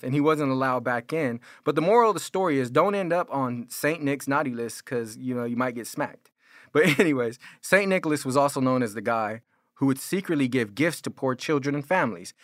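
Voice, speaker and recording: A man's voice: medium pitch, a bit bright, no room sound, clean studio sound. An American man preaching as a pastor, explaining and arguing his points in an informal, conversational way. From California. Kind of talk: sermon, explanatory apologetics teaching.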